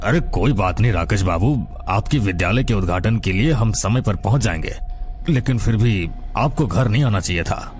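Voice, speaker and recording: A man's voice: fairly low pitch, animated, moderate pace, darkish tone, soft background music, natural voice. A man voicing an elderly village landlord, a kindly, worried grandfather who speaks earnestly in a horror cartoon. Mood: courteous.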